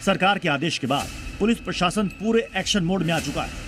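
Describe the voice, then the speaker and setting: A man's voice: high voice, expressive, fairly quick, slight background noise. A man narrating a scripted TV news report in a dramatic style. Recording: loud music bed behind voice. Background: background music.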